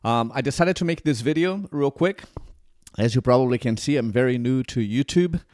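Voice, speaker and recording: A man's voice: mid-range voice, moderate pace, darkish tone, no echo, studio quality. A man in his forties from Brazil with a Brazilian accent, talking casually to camera as a channel host, rambling with many restarts. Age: 40s.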